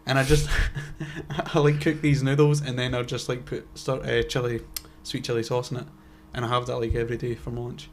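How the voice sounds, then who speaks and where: A young man chatting loosely on a podcast. He rambles and doubles back on himself, adding wry side comments. His pitch sits in the middle, with faint noise behind him. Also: flat delivery, a little fast, hardly any pauses. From Scotland. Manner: rambling, self-interrupting and wry. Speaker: podcast co-host. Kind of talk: casual chat.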